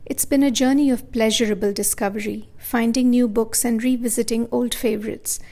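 A woman's voice: fairly high pitch, fairly even intonation, brisk, mellow. A woman hosting a podcast, reading scripted narration to her listeners. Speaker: podcast host.

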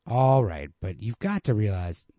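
A man's voice: on the low side, very animated, unhurried, dark tone, no room sound, muffled recording, pitched above the performer's own voice. A man playing an insistent, anxious young man who emphatically cajoles and placates his girlfriend in a story reading. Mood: pleading, insistent.

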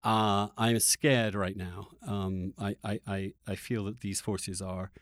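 A man's voice: on the low side, little pitch movement, takes it slowly, no room sound. Articulate male podcast host, dry close sound. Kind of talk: conversational podcast interview.

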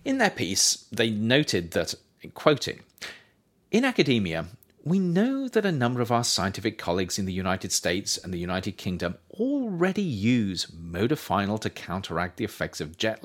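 Man, low pitch, very varied intonation, scripted audiobook-style nonfiction narration, measured emphatic delivery. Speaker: author reading own book.

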